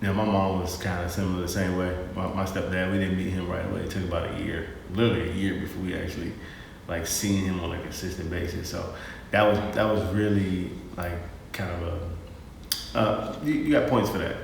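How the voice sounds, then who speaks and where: Man, 30s, laid-back co-host, low voice, echoey room. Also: brisk, vocal fry. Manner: relaxed, laid-back storyteller with playful teasing. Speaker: vlog co-host, husband. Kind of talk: casual storytelling chat. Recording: roomy echo with faint noise.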